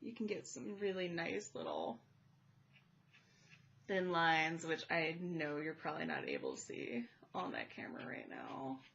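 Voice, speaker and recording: A woman who is congested and a little raspy, chatting casually about art supplies while she draws at home. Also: low-pitched, very animated, measured pace, fairly bright tone, dull audio. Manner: chatty, thinking aloud while drawing. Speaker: art vlogger. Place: home, indoors at a table. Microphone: camera overhead pointed at the paper. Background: furnace and cat noises.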